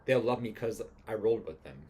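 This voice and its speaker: A man's voice: lowish voice, animated, fairly quick, warm tone, a little hiss or hum, natural voice. A man voicing a cocky, menacing 1920s gangster who talks in slangy tough-guy threats, read from a musical script. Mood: threatening.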